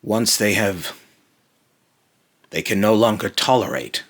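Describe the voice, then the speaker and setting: A man voicing a brooding otherworldly being in a slow, halting, grave monologue, raspy and breathy, warning darkly about mortals. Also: fairly low pitch. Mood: stern warning. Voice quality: raspy, breathy, lapsing into harsh whisper. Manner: slow, halting, repeats phrases, ominous and grave. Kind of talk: dark dramatic monologue.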